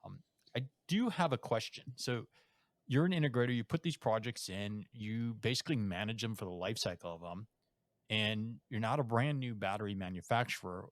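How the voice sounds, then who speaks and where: A man's voice: fairly low pitch, normal speed, dry recording. A man hosting a podcast, talking in a conversational way and thinking aloud with "um" before asking a question. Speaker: podcast host. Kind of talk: podcast interview.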